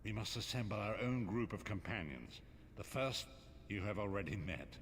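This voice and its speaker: Grave old blind prophet, deep-voiced. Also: male voice, animated, a bit bright, husky, exaggerated voice. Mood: solemn, urgent. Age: older. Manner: solemn, measured, formal. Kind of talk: fantasy video game dialogue.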